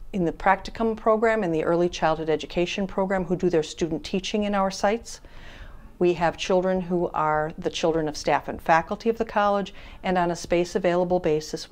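A woman in her sixties speaks in a slightly low voice, calm and formal as she explains her work. She is seated in a classroom and recorded on a lapel mic. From Wisconsin, USA. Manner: measured, even, formal explaining. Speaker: child care services director. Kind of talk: on-camera interview statement. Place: classroom of a child care center, indoors.

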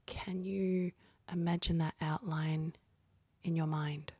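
A woman leading a guided meditation in a soft, husky, breathy voice, speaking calmly and gently with unhurried pauses. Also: low-pitched, no echo. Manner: calm, gentle, unhurried instructing with long pauses.

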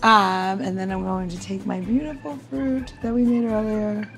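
A woman's voice: mid-range voice, steady tone, moderate pace, vocal fry. A woman chatting informally and self-deprecatingly as she demonstrates a cocktail recipe as a podcast host.

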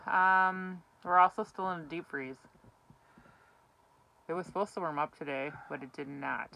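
A chatty, easygoing young woman with a slightly low voice, talking close to a handheld camera inside a small tent out on the ice. She giggles often between her sentences. A faint hiss of outdoor noise sits behind her. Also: normal speed, creaky, pushed and bright, low-quality recording. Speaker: outdoor vlogger. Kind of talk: casual vlog chat. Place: small ice fishing tent on a frozen lake. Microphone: camera held close.

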